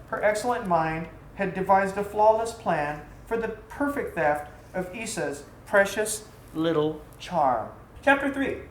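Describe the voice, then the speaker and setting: A woman reading a scripted tale aloud in an expressive, theatrical storybook style. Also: medium pitch. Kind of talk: scripted narration of an exotic tale. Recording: roomy echo, faint background noise.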